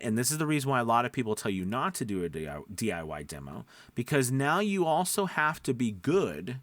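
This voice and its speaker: A man's voice: lowish voice, fairly bright tone, dry recording. Man coaching informally, dry close sound. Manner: explanatory, checks in with listeners, repeats for emphasis. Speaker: voice-over coach. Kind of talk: informal instructional talk to camera.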